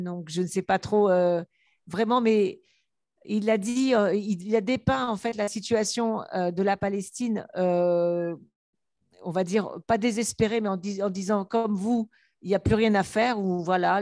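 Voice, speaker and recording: A woman from a solidarity group asking a long question with many restarts and pauses. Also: mid-range voice, a little mellow, no echo, clean studio sound. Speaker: member of a solidarity committee.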